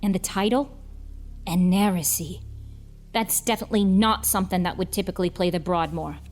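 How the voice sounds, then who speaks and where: An actress playing an eager, chatty young 1940s cinema usherette, gushing and gossipy with wholesome old-fashioned slang in an audio drama. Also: female voice, on the low side, moderate pace, a little mellow, faint music behind, natural voice. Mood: puzzled, excited. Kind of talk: film noir audio drama acting.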